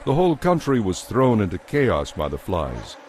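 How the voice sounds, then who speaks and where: A man narrates a scripted Bible drama. His voice sits a little low, and he tells the story in a solemn, measured way. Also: wildly swooping pitch, a little mellow, slight background noise.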